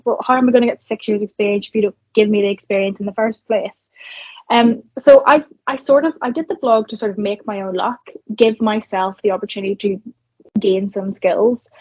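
A husky young woman with a Northern Irish accent talks things through in a thoughtful, conversational way. Her voice sits dry and close on a somewhat dull, lo-fi recording. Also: medium pitch, darkish tone, no room sound. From Northern Ireland. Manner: reflective, many fillers and restarts. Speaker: guest, marketer and entrepreneur. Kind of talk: conversational podcast interview.

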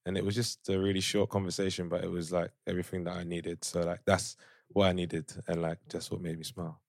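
A man's voice: sits low, level tone, fairly quick, vocal fry, no echo, studio quality. A young man co-hosting a podcast, talking in a laid-back, teasing way. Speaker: podcast co-host. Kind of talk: casual chat.

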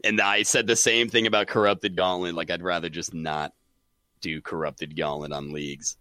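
A man chatting casually about games as a podcast guest, excitable and saying "dude" often. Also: low-pitched, normal speed, no echo. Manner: excitable, says "dude" a lot. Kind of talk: casual gaming chat.

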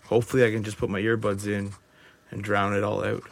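Man acting doomed journal-writing survivor, audio drama, lowish voice, guilt-ridden, resigned, confessional. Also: flat delivery, quick pace, creaky. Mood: despairing, resigned. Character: despairing, guilt-ridden male zombie-apocalypse survivor writing final journal entry.

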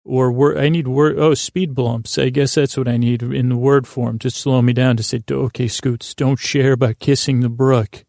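A man hosting a podcast in a halting, silly, absent-minded monologue, full of digressions, pauses and repeated words. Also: mid-range voice, steady tone, vocal fry, darkish tone, dry recording, studio quality. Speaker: podcast host. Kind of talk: rambling whimsical monologue meant to distract listeners.

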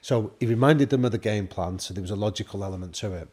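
British guy with a husky voice, kinda low, chatty storyteller doing little bits of dialogue. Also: fast talker. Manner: animated anecdotes acting out quoted dialogue. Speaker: guest expert on high performance. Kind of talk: conversational storytelling.

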